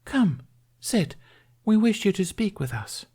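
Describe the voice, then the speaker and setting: A man voicing a serene, curious young mermaid in a smooth voice with formal, old-fashioned phrasing. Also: sits high, very animated, slow pace, warm tone, pitched above the performer's own voice, full character voice. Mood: kindly, inviting.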